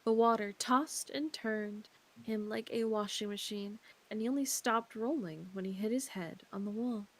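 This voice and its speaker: A woman's voice: mid-range voice, normal speed. A woman co-hosting a visual novel stream, reading narration aloud with casual, sweary asides. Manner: informal, sweary.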